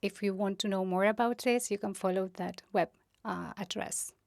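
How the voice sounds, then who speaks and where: A woman's voice: medium pitch, steady tone, moderate pace, gravelly, darkish tone, no echo, studio quality, faint music behind. A woman interpreting live at an online conference, hesitant and often correcting herself mid-phrase. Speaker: simultaneous interpreter. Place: remote online session.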